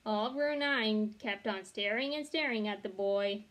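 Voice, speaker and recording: A woman's voice: mid-range voice, measured pace, fairly bright tone. A young woman reading a children's chapter book aloud expressively, with occasional stumbles and self-corrections. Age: young adult. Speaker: read-along storyteller. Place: small bedroom, indoors.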